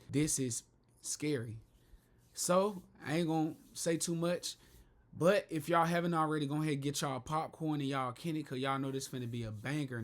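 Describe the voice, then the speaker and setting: A man hosting a reaction video, talking casually in heavy slang, hyped and repeating his catchphrases. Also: mid-range voice.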